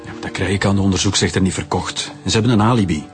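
Actor playing a very fast-talking, irritable Flemish police chief. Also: male voice, sits low, soft background music, close to an everyday voice. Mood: grumbling. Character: impatient, irritable male police chief, bossy. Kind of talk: radio crime drama acting.

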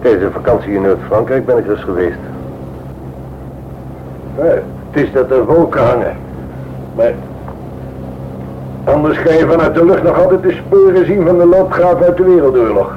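A man playing a chatty, obliging airliner crew member in a radio drama, talking in an animated, conversational way. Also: on the high side, moderate pace, pauses often, natural voice. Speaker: actor in a radio play. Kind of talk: Dutch radio drama acting. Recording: thin phone-like sound, loud engine noise.

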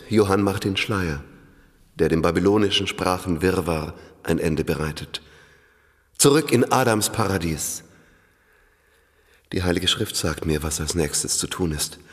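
An actor playing a zealous, self-assured village priest and visionary in a radio play, earnest and grandiose in delivery. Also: male voice, low voice. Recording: long reverb, music behind.

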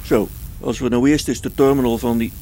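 A man's voice: medium pitch, steady tone, fairly quick, dark tone, a little hiss or hum, lightly acted. A man playing a practical, down-to-earth space engineer in a radio play, speaking in a colloquial way. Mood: matter-of-fact. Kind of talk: amateur science fiction radio play acting.

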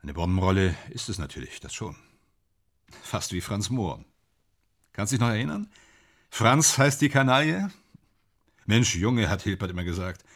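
A man's voice: fairly low pitch, lively intonation, moderate pace, long pauses, a bit bright. An actor playing a proud, touchy stage actor in a radio drama, boastful and emphatic in his delivery. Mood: defensive. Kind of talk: naturalistic radio drama dialogue.

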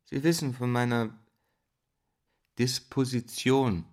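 A man's voice: mid-range voice, slow pace, natural voice. An actor playing a distressed young male teacher in an intimate drama dialogue, gloomy, terse and irritable. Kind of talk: intimate radio play dialogue.

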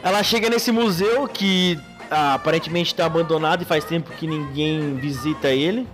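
A man's voice: a little high, moderate pace, fairly bright tone, soft background music, some chatter behind. A man hosting a podcast in casual chat, recapping loosely with many restarts. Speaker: podcast host.

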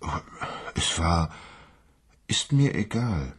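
An actor playing a lonely, timid man who speaks hesitantly and quietly. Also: low-pitched, big pitch swings, slow pace, husky, heavily acted. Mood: wistful. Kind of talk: radio drama acting.

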